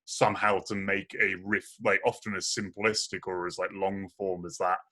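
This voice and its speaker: A young man with a very deep voice, chatting casually as a podcast guest and laughing often at himself. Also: flat delivery, moderate pace, sharp tone. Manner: laughs often, self-deprecating. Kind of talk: casual chat.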